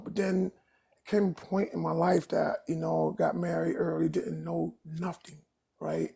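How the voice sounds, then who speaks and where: Raspy-voiced man in his sixties from Harlem. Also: fairly high pitch, a little mellow. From Harlem, New York. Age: 60s. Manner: rambling, earnest, frequent restarts and "you know". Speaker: caller on a live stream. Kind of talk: personal story told on a call-in stream.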